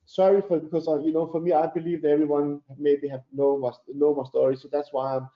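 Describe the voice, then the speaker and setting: A man from Denmark, a native Danish speaker talking English with a Danish accent, telling his story as a guest over a video call, halting with many fillers and restarts. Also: fairly high pitch, little pitch movement. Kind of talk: casual interview storytelling. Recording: roomy echo, dull lo-fi sound.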